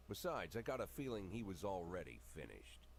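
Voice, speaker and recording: An actor playing a weary, cynical middle-aged police chief, narrating a noir-style video game story in a deadpan, brooding manner. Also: male voice, sits low, moderate pace, slight background noise.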